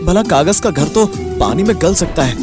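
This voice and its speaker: A man voicing a poor, anxious village husband, excitable and full of doubt. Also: sits high, normal speed. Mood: baffled. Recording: clear background music under voice.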